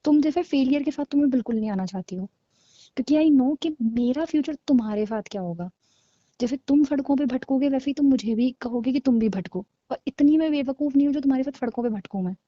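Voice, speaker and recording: A woman acting a cold, high-voiced young girlfriend. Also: lively intonation, fast, dark tone, dry recording, highs cut off. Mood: cold, dismissive. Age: young adult. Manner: blunt, dismissive, argumentative. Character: cold, scornful young girlfriend breaking up with her boyfriend. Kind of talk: naturalistic audio drama of a couple's call.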